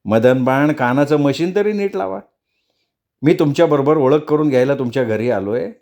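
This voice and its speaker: A man's voice: fairly high pitch, moderate pace, a little mellow, close to an everyday voice. A man voicing a greedy, scheming, freeloading neighbour who wheedles and mutters asides in a comic story.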